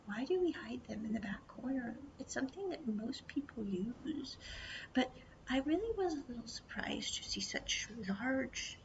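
A woman from the United States telling a rambling travel story, mostly in a hushed whisper, chatty and full of "I was like". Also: high-pitched. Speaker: vlogger. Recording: dull lo-fi audio with clear background noise.

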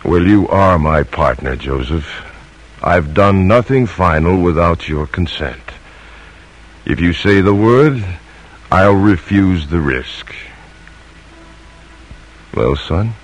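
An older man playing a spirited, determined colonial American father in a 1950s radio drama, declaiming emphatically in a husky voice on an old broadcast recording. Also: sits low, expressive, slow, pauses often, full character voice, poor audio. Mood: firm, fatherly.

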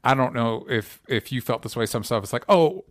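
A man co-hosts a casual chat, speaking hesitantly and often restarting his sentences, with lots of easy agreement. His voice sits at a middle pitch. The sound is dry and close, with no room echo. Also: expressive, fairly quick. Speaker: co-host.

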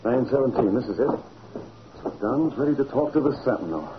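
An actor playing a wisecracking American newspaper reporter, slangy, punchy and excitable, in an old-time radio drama. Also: male voice, mid-range voice, fast talker, lightly acted. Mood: impatient, wary. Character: cocky, wisecracking newspaper reporter, adult man. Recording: muffled, noisy old radio broadcast recording.

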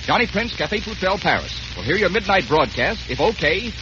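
Fast-talking, commanding bandleader. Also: male voice, sits high, very animated. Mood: brisk, bossy. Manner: brisk, commanding. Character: brisk young bandleader, exiled prince. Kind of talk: 1930s-40s radio comedy acting. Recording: noisy, muffled old radio recording.

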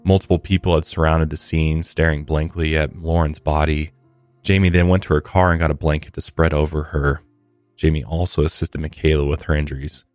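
A man hosting a true crime podcast, telling the case and adding earnest, measured comments with a few fillers. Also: very deep voice, level tone, darkish tone, no echo, soft background music. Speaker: podcast host.

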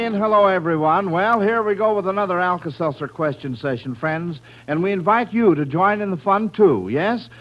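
High-pitched folksy American radio quiz man. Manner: folksy, chatty, addressing children and listeners as friends. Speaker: quiz show host. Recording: muffled vintage radio broadcast.

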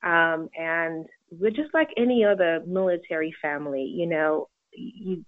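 A woman's voice: on the low side, a little slow. Phone-line woman, faintly British American accent. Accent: mostly American with faint British traces. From England. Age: middle-aged. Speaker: podcast guest, realtor. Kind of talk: interview answers. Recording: tinny narrowband phone line.

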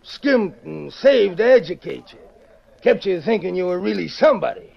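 A very gravelly, swooping voice as a scheming older uncle in a radio western. He wheedles and sneers in a folksy American drawl, bitter and menacing. The vintage recording is muffled. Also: sits high, animated, moderate pace, full character voice. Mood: sneering, threatening. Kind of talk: old-time radio western acting.

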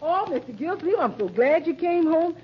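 An actress playing a good-natured, plain-spoken American housekeeper, folksy and earnest, in 1940s radio comedy style. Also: female voice, very high voice, sing-song, normal speed, slight background noise. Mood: earnest, bothered. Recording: muffled old radio recording.

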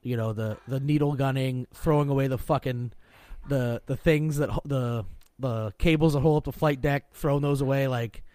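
A man's voice: medium pitch, steady tone, moderate pace, mellow, no echo. A man co-hosting a podcast in a laid-back, rambling way, swearing freely as he chats. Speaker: podcast co-host. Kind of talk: casual profane chat.

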